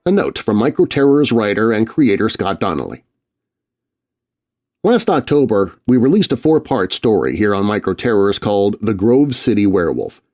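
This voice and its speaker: A man reading a children's scary story aloud, voicing letters in a scripted, expressive narration. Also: lowish voice, normal speed.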